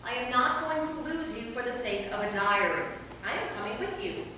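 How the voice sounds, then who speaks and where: A woman's voice: fairly high pitch, lively intonation, fairly quick, bright, forceful tone. A woman acting a worried, loyal, sensible vampire with a firm, earnest delivery in a staged play reading. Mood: worried, determined. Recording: echoey hall from far off, faint noise.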